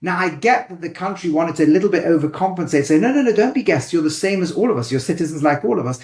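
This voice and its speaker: Animated rabbi with a light Manchester accent. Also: male voice, fairly high pitch, quick pace, echoey room. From England. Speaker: rabbi and educator. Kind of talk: thoughtful conversational explanation.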